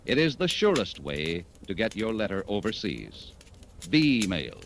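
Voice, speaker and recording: A man's voice: on the low side, huge pitch swings, unhurried. Wide-swinging 1940s American radio announcer man. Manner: polished, emphatic sales delivery. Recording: noisy old 1940s radio recording.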